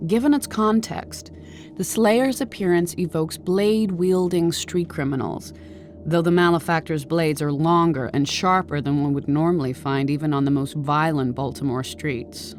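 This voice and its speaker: An actress playing a composed researcher who catalogues monsters in measured, clinical scripted narration for a video game. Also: female voice, sits low, a little mellow, soft background music, lightly acted.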